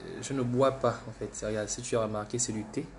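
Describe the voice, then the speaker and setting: A man's voice: medium pitch, fairly even intonation, quick pace, darkish tone, a little hiss or hum. Man with the flu, livestreaming. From Gabon. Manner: repetitive, restarts words, keeps urging viewers. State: has the flu. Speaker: livestream host. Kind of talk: political livestream commentary.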